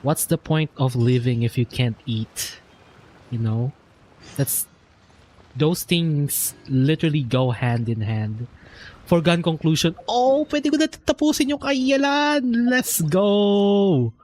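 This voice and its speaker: A man's voice: fairly high pitch, lively intonation, takes it slowly, a little mellow, slight background noise. A man with a Filipino accent giving casual, slangy live game commentary, switching between English and Filipino. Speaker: game streamer.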